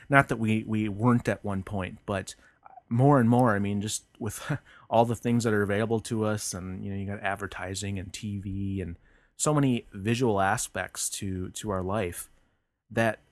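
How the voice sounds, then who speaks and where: A man's voice: on the low side, moderate pace, dry recording. A man hosting a podcast, talking earnestly and reflectively about faith, with frequent restarts and fillers. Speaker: podcast host.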